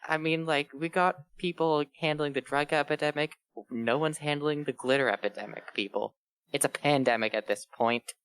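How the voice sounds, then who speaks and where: A man's voice: fairly high pitch, steady tone, normal speed, fairly bright tone, smooth, no echo. A man chatting casually and riffing with dry, absurd jokes. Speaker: co-host of a comedy reading show. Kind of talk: casual joking banter.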